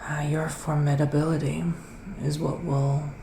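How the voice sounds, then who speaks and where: A man playing a gentle psychic witch in a soft ASMR roleplay, partly whispering, with hesitant, drawn-out phrasing. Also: on the high side, flat delivery. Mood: soothing, pensive. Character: gentle male witch giving psychic tarot readings. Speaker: ASMR performer. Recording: roomy echo, clear background noise.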